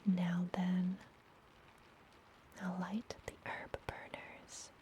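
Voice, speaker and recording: Slow, whispering witch, played by a woman. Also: on the low side, flat delivery. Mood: soothing. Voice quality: breathy whispering. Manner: slow, gentle, soothing delivery. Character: friendly, soothing woman witch running an enchanted apothecary. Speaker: ASMR roleplay performer. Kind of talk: ASMR fantasy roleplay. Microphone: close ASMR microphone.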